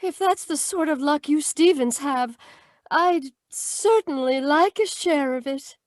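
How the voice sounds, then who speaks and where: High-voiced earnest radio heroine, played by a woman. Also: unhurried, dry recording, studio quality. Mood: earnest, anxious. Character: earnest young woman, anxious sweetheart in radio adventure. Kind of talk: old-time radio drama acting.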